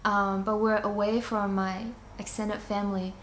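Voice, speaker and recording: A young adult woman who grew up in China and Singapore, giving a personal testimony in a hesitant, reflective way with frequent pauses. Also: medium pitch, little pitch movement, a bit bright. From China, moved to Singapore at nine. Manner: hesitant, reflective, pausing often mid-sentence. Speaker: church member giving a testimony. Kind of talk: personal testimony, unscripted monologue. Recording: echoey room, faint background noise.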